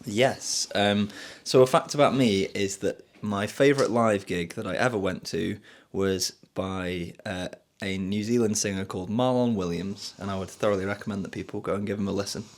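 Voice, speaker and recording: A man from the UK hosting a podcast in casual chat, relaxed and quick to laugh. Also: lowish voice. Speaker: podcast host.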